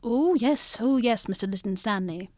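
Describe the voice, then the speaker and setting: A woman acting a shrewd male detective in an amateur audio drama, brisk and genteel with old-fashioned phrasing. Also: on the high side, expressive, darkish tone, no room sound, close to an everyday voice. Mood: genteel, persuasive. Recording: clearly noisy recording.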